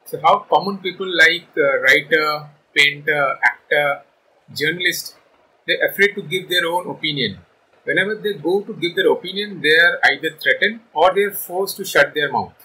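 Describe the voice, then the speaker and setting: A man's voice: on the high side, moderate pace, sharp tone, low-quality recording. A man, presenting to camera as a channel host in a small room. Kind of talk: video introduction to camera. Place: small furnished room indoors. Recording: clear background noise.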